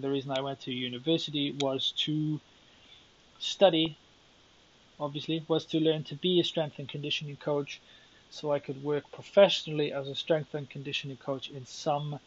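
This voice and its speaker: A man's voice: fairly high pitch, level tone, moderate pace, muffled recording. A young Welsh man hosting a solo podcast, thinking aloud and rambling with self-deprecating asides. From Wales. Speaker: podcast host.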